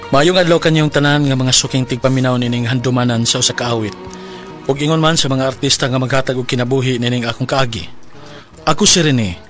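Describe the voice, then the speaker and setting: A man's voice: mid-range voice, a little fast, music in the background, natural voice. A man with a Cebuano accent playing a heartbroken working-class father in a radio drama. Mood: sombre narrating. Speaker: radio drama actor and narrator.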